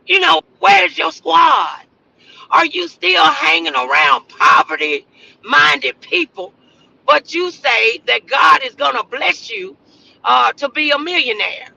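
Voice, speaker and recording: Very high-pitched, bright-voiced man preaching motivation. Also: animated, takes it slowly, creaky, rough recording. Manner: exhorting, repeats phrases for emphasis, rhetorical questions. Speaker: motivational show host.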